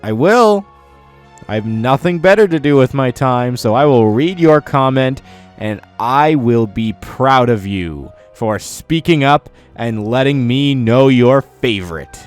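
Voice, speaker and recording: Man, medium pitch, unhurried, mock-earnest countdown host, exaggerated praise, music behind. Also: animated. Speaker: video host. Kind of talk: scripted countdown video commentary. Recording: music under the voice.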